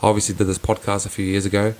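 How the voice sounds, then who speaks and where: A young man hosting his own podcast, talking in a soft voice with earnest, reflective musings and frequent restarts. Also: on the low side, completely flat delivery, very fast. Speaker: podcast host. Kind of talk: casual reflective solo podcast.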